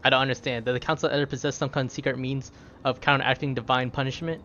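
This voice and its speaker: A man's voice: medium pitch, flat delivery, racing, pushed and bright. Earnest, cautious game traveler read by a man. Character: earnest, cautious, polite young male traveler. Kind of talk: reading video game dialogue aloud. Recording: slightly dull, faint background noise.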